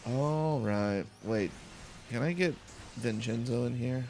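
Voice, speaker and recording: A man giving calm, cozy gameplay commentary as a let's play host, with playful, silly asides. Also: mid-range voice, slow, a little hiss or hum.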